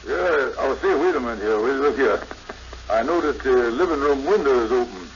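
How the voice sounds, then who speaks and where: A man's voice: medium pitch, lively intonation, a little fast, natural voice. A man acting a folksy, teasing married buddy with slangy, ungrammatical talk in a 1950s radio sitcom. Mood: chummy, nosy. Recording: dull, noisy 1950s radio broadcast.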